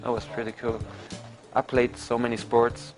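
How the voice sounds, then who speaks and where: Swiss guy in his 20s, accented english, lots of "like", relaxed chat with faint music under it. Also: medium pitch. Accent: Swiss German. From Switzerland. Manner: halting non-native English with filler "like". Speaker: professional soccer player. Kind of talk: casual interview.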